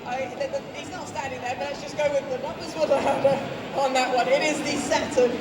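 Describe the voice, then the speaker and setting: Teasing panel host, high voice, heard from afar in a noisy crowded hall. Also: fairly even intonation, brisk. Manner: teasing, joking. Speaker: panel moderator. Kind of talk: live panel hosting, audience votes. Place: large convention hall, live panel. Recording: echoey hall from far off, loud crowd.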